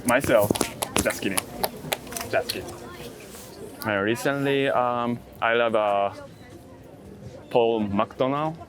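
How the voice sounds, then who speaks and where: A man chatting casually as an interviewed street photographer, in halting non-native English with dropped articles and plurals. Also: mid-range voice. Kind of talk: casual chat. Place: outdoors in a busy city. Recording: busy city crowd noise behind.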